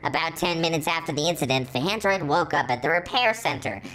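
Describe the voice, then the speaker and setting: A woman voicing an excitable musical robot who reads stories aloud and reacts with dramatic astonishment. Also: low voice, a little fast, low-quality recording. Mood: dramatic storytelling. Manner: exclamatory, dramatic, easily astonished. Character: excitable musical robot reading fan fiction about himself. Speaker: channel host. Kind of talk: animated story reading with reactions.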